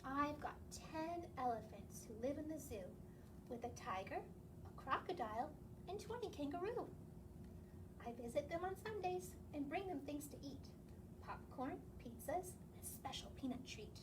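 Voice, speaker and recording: A woman with an extremely high voice hosts a children's story time. She reads and chants rhymes, adding playful questions for her young listeners. The microphone sits at a distance, and noise is clearly audible behind her. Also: lively intonation, moderate pace. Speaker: library story time host. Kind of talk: children's read-aloud with sing-along rhymes and asides to listeners.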